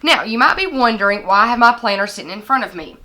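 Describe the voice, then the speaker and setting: A young woman, hoarse and scratchy from a recent illness, chatting casually as she shows planner supplies to the camera at her desk. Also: fairly high pitch, expressive, fast, sharp tone. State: hoarse, recovering from lost voice. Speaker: planner vlogger. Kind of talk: casual product haul vlog. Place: indoors at a desk with lighting kit.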